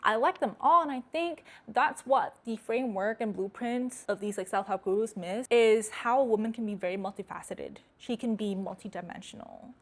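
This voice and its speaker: A woman's voice: a little high, moderate pace, hardly any pauses. Direct young woman with American accent. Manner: direct, emphatic, candid. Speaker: host. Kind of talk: casual talking-head vlog.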